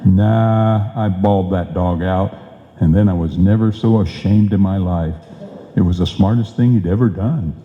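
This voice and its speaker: In an echoey, muffled hall recording, a man acts out a folksy, blustering husband from a vintage radio comedy. His voice sits fairly low, and he talks in slangy exclamations like a born teller of tall tales. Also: a little slow. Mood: boastful. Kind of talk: old-time radio comedy acting before a live audience.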